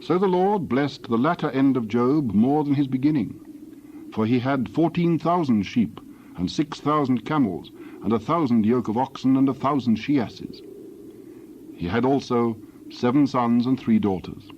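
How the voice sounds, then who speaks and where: A man's voice: medium pitch, a little mellow. Male scripture narrator, slightly muffled recording. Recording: dull lo-fi, faint background noise.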